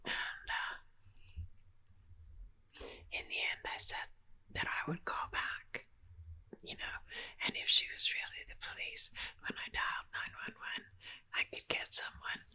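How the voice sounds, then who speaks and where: A woman telling a chatty story in a soft ASMR whisper. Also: unhurried, long pauses, poor audio. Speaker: ASMR creator.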